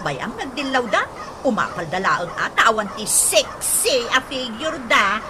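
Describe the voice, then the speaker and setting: Woman playing a high-voiced, vain, defiant wife. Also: expressive, measured pace, noisy background. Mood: smug defiance. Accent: Ilocano. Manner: defiant, brushing off criticism. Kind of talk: Ilocano radio comedy drama acting.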